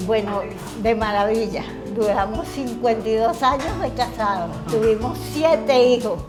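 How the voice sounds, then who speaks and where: A woman's voice: a little high, measured pace, over music. A Venezuelan woman in her seventies giving casual answers as a local interviewee. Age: 70s.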